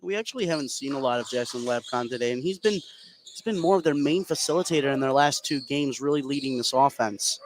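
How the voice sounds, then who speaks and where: A man's voice: medium pitch, fairly quick. A man giving casual, observational color commentary during a live basketball broadcast. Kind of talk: live sports analysis, conversational. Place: indoor gym at a basketball game. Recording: clear gym noise behind the voice.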